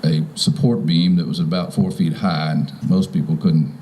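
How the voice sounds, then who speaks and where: A mature man with a very deep and dark, relaxed voice. He gives an informal welcome speech, often stopping and rephrasing mid-thought. There is some background noise around him outdoors. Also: moderate pace. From Virginia, United States. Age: 50s or older. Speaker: property owner welcoming guests. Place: outdoors in front of a house.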